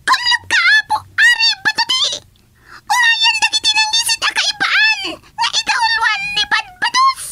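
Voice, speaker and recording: A woman voicing an excitable, high-voiced underling of a fairy kingdom in a comedy radio drama. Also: normal speed, long pauses, no room sound, exaggerated voice. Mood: frantic, pleading.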